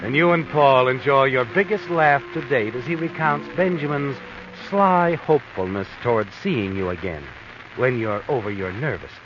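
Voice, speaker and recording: Guy playing creepy all-knowing american narrator from old radio mystery, sly, pitch swoops around, hissy dull audio. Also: animated, normal speed, natural voice. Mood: sly, taunting. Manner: sly, insinuating second-person address. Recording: hissy, muffled vintage radio recording.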